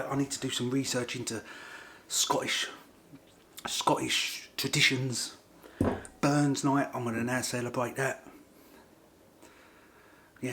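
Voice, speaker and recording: A middle-aged Englishman chatting casually to camera at a medium pitch. His talk is rambling and informal, circling back to repeat the same points, with a faint hiss underneath. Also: a bit bright. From England. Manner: rambling, repeats himself, informal working-class grammar. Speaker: vlogger.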